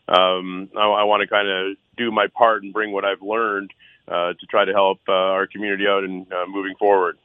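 Low-voiced man on a tinny phone line. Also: level tone, normal speed, clean tone, no echo. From Six Nations, Ontario, Canada. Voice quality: loud voice. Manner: frequent uh fillers and restarts, earnest. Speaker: council candidate guest. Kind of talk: phone-in radio interview.

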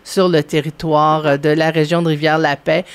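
A correspondent chats on the radio, halting often and restarting sentences. The pitch sits right in the middle range. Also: flat delivery, clean tone. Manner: hesitant, many fillers and restarts. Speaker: regional correspondent. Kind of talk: radio chat.

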